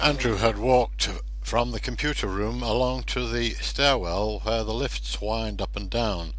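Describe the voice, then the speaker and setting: A man narrating a radio drama in a measured, literary storytelling manner. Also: fairly low pitch, faint music behind, low-quality recording.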